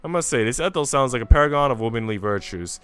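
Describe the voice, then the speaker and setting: A man's voice: medium pitch, animated, quick pace, fairly bright tone, clean tone, soft background music, natural voice. A man voicing a proud, aloof housemaid in a visual novel, speaking in a formal, arch manner. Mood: arch, smooth.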